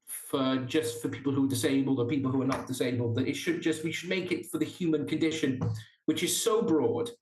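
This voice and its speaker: A man's voice: mid-range voice, steady tone, fairly quick, darkish tone, echoey room, a little hiss or hum, rough recording. A man from South Wales with a Welsh accent, a podcast guest speaking earnestly and often restarting his sentences. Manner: earnest, with frequent restarts and stumbles. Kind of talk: casual interview chat.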